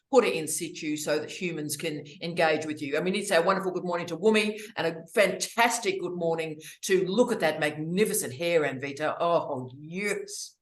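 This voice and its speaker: Witty woman on a roomy call. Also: fairly low pitch. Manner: witty, theatrical asides, warm greetings. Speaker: academic hosting online office hours. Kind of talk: lively, opinionated casual chat. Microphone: video call. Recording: roomy echo on a video call.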